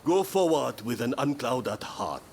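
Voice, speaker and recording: A man voicing a solemn, serene religious teacher in a video game, preaching in measured phrases. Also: mid-range voice, sing-song, slight background noise.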